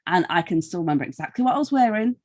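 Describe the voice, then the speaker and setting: British female interviewer, husky and slightly low, very lively intonation, irreverent casual banter. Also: rapid-fire. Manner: animated, irreverent, sweary.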